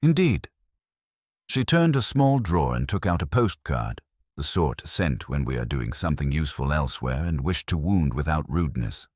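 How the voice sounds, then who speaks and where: A male audiobook narrator with a very deep, husky voice. He reads literary mystery fiction in a measured, wry way, with lively rises and falls in pitch. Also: no room sound, studio quality.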